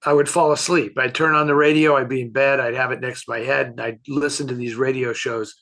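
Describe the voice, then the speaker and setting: Older American man, relaxed conversational storyteller. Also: mid-range voice, a little fast, gravelly. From San Francisco area, United States. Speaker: co-host answering fan questions. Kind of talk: casual chat, reminiscing.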